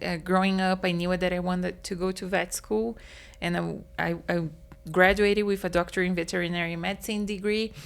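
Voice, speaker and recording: Gravelly woman explaining calmly. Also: on the low side. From Brazil. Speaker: meat science professor, guest. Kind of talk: interview answers.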